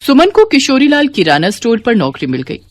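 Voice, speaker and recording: A woman's voice: on the high side, huge pitch swings, a little fast. A woman reading a scripted devotional moral story as its narrator.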